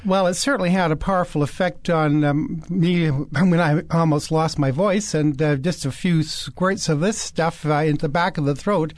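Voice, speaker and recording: A man co-hosting a radio health show, talking opinionatedly with many fillers and pauses. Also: on the high side, no room sound. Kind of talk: casual radio talk. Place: radio studio.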